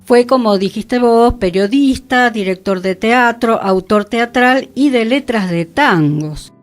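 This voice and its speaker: Unhurried Argentine woman with a higher voice. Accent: Argentine, Buenos Aires. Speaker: radio co-host. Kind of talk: informative radio conversation. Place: radio studio. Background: faint music.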